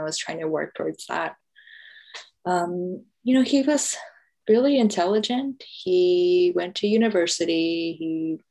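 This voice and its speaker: A low-pitched American woman with vocal fry speaks as an interviewed author. She explains things thoughtfully and haltingly, in a conversational style, and keeps her intonation fairly flat. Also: rough recording. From United States. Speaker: author and journalist being interviewed. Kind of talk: conversational book talk interview.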